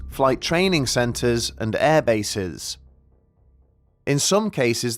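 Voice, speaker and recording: A man reading scripted mystery documentary narration in a measured, deliberate way with dramatic pauses. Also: medium pitch. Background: background music.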